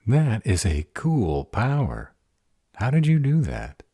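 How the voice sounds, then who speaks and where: A man's voice: fairly low pitch, wildly swooping pitch, takes it slowly, warm tone, breathy edge, exaggerated voice. A man playing a grumbly, smitten, easily distracted were-dragon in a fantasy audio roleplay, grumbling in conversational asides. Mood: curious, playful.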